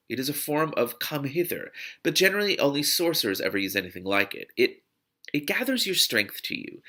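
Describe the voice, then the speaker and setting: A wise, measured woman mentor from a fantasy storybook, read by a man. The speech is formal and explanatory, with a bright tone, varied melody and a slightly gravelly edge. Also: medium pitch, heavily acted. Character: wise, measured female magic ward master, mentor. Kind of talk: audiobook-style character dialogue.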